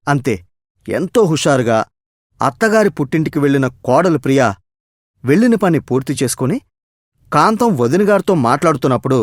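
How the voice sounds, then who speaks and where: A man's voice: fairly high pitch, sing-song, fairly quick, pauses often, no room sound, studio quality. A man narrating a scripted moral story as its storyteller.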